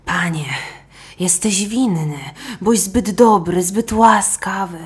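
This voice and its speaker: A woman voicing a talkative, flattering fox courtier with a fawning manner. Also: medium pitch, slow pace, slightly rough, voice pushed up. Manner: fawning, hesitant.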